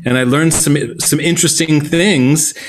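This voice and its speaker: A man hosting a podcast, chatting casually with many fillers and reading an ad in a relaxed way. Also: on the high side, a little slow, faint music behind, low-quality recording. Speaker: podcast host. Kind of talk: casual podcast chat and host-read ad.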